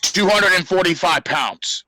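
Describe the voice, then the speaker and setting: A man's voice: sits high, animated, unhurried, noisy background. A man co-hosting a casual wrestling podcast, matter-of-fact with an exasperated edge. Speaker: podcast co-host.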